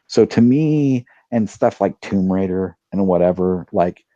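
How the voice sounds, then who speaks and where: A man's voice: low-pitched, lively intonation, a little slow, warm tone, dry recording, lo-fi sound. A man talking casually as a podcast panelist, giving opinions in an emphatic way.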